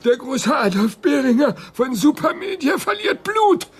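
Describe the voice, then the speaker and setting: An actor playing a pompous, cowardly old media tycoon in a radio drama, shrill, wailing and indignant. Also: male voice, very animated, measured pace, exaggerated voice. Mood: wailing, panicked. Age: older.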